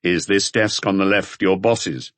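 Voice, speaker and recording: A man voicing a calm, authoritative police chief inspector in an audiobook, terse and matter-of-fact. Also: deep voice, a little slow, dry recording. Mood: questioning.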